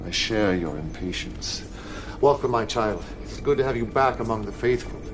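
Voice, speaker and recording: A man acting as a messianic cult leader from a video game, commanding and scheming. He orates in a theatrical, deliberate way, with clear music underneath. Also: on the low side, animated, natural voice. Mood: stern, paternal. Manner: grandiose, theatrical, deliberate oratory.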